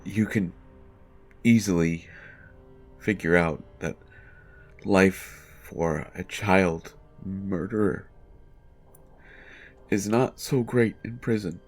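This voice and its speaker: A man playing a distraught, haunted writer in prison, telling his horror story in a halting, stammering first-person monologue. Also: sits low, soft background music. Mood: grim, dread-filled. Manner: halting, stammering, repeating words. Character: haunted, distraught male writer jailed for his son's death.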